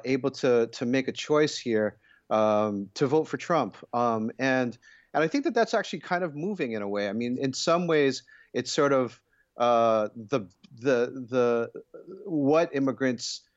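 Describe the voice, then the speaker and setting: A man commenting as a radio guest, speaking reflectively and haltingly. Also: medium pitch, dry recording, highs cut off. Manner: halting, many fillers and restarts, reflective. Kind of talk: radio panel discussion.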